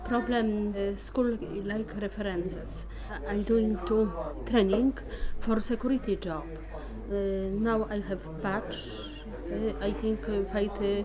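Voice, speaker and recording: A woman from Poland with a Polish accent, a teacher speaking broken English with many hesitations. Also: medium pitch. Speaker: teacher seeking work.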